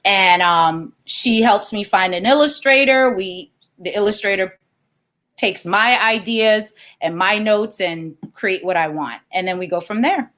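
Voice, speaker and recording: A woman's voice: mid-range voice, unhurried, fairly bright tone. Woman author chatting about her work. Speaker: guest children's book author. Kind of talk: reading aloud and explaining in an interview.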